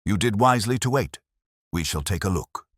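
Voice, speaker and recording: A man voicing a calm, curt gentleman detective with a smooth, formal manner in an audiobook story. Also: on the low side, fairly quick, dry recording, studio quality, voice pushed up.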